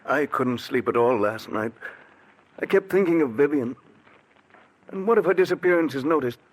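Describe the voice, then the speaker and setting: An actor in a 1940s radio drama playing an anxious, guilt-ridden husband who speaks in a worried, hesitant way, with a husky voice. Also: male voice, mid-range voice, lively intonation, normal speed, slight background noise, close to an everyday voice, poor audio. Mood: uneasy. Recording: old radio broadcast recording.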